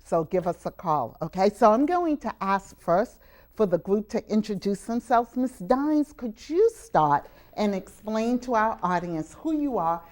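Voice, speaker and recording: A woman hosting a live educational television show, speaking deliberately with drawn-out emphasis on words. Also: medium pitch, lively intonation, darkish tone. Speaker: television show host and teacher. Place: live television taping at a community college.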